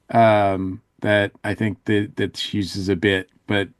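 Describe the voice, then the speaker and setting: Male co-host, fairly low voice, informal and slightly halting review talk, dry recording. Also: level tone, dry recording. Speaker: podcast co-host. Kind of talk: casual review discussion.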